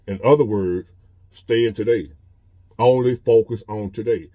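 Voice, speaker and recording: A man in his fifties with a drawl speaks earnestly from inside a parked truck, going over the same points again and again in a plain, conversational way. His voice is dark and mellow, and the sound is dry and close, with no echo. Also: lowish voice, measured pace. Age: 50s. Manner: earnest, repetitive, conversational preaching. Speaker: vlogger. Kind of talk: casual motivational monologue.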